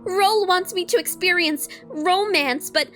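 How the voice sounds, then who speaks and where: A very high, slow-paced young robot woman, acted by a woman speaks in halting fragments, anxious and guarded. It is dramatic comic-dub acting with faint music behind the bright voice. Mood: fearful. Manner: halting, clipped short phrases.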